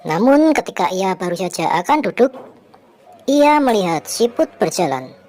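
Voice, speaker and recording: A woman's voice: medium pitch, big pitch swings, normal speed, a little mellow. Woman narrating a children's story.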